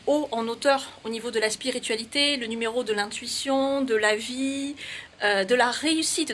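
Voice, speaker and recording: Woman doing spiritual affirmations, bright forceful voice, kinda high, lots of hein and euh. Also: a little hiss or hum. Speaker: spiritual channeler. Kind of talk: spoken astrology guidance and affirmations.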